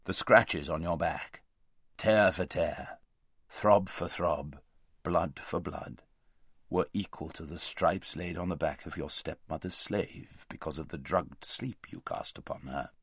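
A man voicing a great male lion in a storybook reading, grave, gentle and commanding, speaking slowly and deliberately with weight. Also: sits low, slightly rough, no echo, studio quality, voice pushed down, exaggerated voice.

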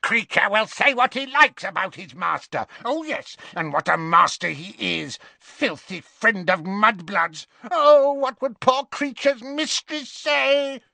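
A man voicing an old, bitter male house elf with a croaky, resentful, muttering voice. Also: high-pitched, slow pace, hardly any pauses, sharp tone, no echo, pitched above the performer's own voice, heavily acted.

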